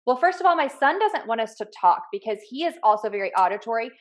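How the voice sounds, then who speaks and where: A woman's voice: fairly high pitch, very animated, quick pace. A woman in her thirties with an American accent, chatting casually and agreeably as co-host of a parenting podcast. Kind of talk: casual chat.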